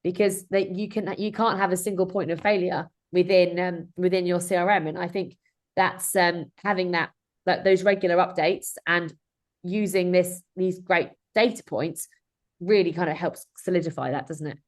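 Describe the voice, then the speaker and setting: A woman's voice: lowish voice, steady tone, normal speed. A woman in her thirties talking informally as a webinar host on a video call, chatty and rambling with frequent self-corrections. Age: 30s. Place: indoor room at home or office, on a video call. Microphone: video call microphone.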